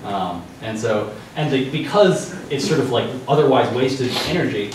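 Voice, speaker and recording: A young man giving an informal science news talk in a dark lecture room, loose and conversational with many ums and restarts. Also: mid-range voice, moderate pace, noisy background. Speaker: presenter. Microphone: far room mic. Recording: echoey hall from far off.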